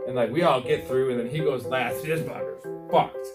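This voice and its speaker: A man's voice: medium pitch, normal speed, over loud music. A man hosting a sports podcast, chatting and telling stories casually in sweary, slangy buddy talk. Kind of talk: casual chat and storytelling.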